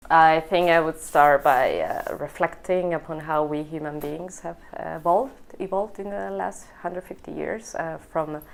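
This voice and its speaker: A woman's voice: deep voice, unhurried, creaky, few pauses. A middle-aged woman from Chile, a native Spanish speaker with a Chilean accent, giving a personal interview account in a reflective, hesitant way. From southern Chile. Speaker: lighting designer and team leader.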